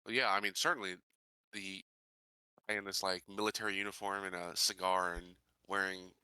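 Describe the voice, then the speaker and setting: A man playing a tabletop roleplaying game, chatting and improvising questions in a halting, thinking-aloud way. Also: sits low, fairly even intonation, bright, forceful tone, clean tone, dry recording, low-quality recording. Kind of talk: casual improvised roleplay chat.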